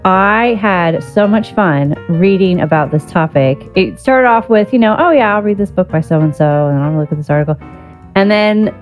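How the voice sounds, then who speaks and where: A woman's voice: mid-range voice, lively intonation, normal speed, soft background music. A woman hosting a podcast interview, chatty and enthusiastic, laughing often. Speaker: podcast host. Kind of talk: casual interview chat.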